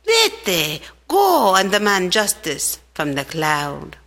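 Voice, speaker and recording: Lady voicing the rain in a kids story, slow and calm, passing the blame. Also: on the low side, heavily acted. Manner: slow and measured.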